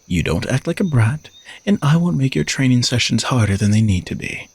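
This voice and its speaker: A man's voice: medium pitch, sing-song, fairly quick, darkish tone, husky, no echo. A man playing an arrogant, sardonic knight who is a reluctant royal bodyguard, teasing and condescending in a romantic audio roleplay. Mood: grudging, dry. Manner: teasing, dry, exasperated sighs, condescending.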